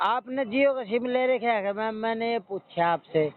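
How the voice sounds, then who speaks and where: A man's voice: very high-pitched, moderate pace. Haryanvi man on a tinny phone line. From Haryana, India. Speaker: customer calling a helpline. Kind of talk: joking phone call. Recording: noisy, tinny phone call recording.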